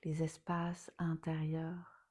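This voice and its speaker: A woman teaching yoga nidra, guiding a relaxation meditation in a soothing, unhurried manner, with phrase endings softly trailing towards a whisper. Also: deep voice, completely flat delivery, slightly rough.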